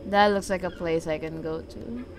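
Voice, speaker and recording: A woman's voice: fairly low pitch, moderate pace, noisy background. A woman doing casual let's-play commentary, sweary and exclamatory, talking half to herself as she plays. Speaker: gaming video creator. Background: video game ambience sounds.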